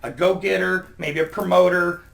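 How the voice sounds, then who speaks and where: A man's voice: high-pitched, fairly even intonation, normal speed, vocal fry. A man explaining communication tips as a guest expert, in an emphatic, instructive way.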